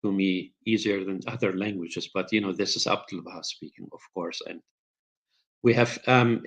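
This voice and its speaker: Low-voiced lecturer. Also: male voice, a bit bright. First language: Turkish. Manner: searching for words, many pauses and fillers. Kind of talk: academic talk with slides.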